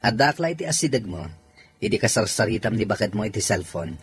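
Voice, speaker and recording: A man's voice: on the low side, sing-song, quick pace, slightly rough, full character voice. A man with an Ilocano accent acting a reserved, lonely young father in an audio drama. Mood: reserved, teasing.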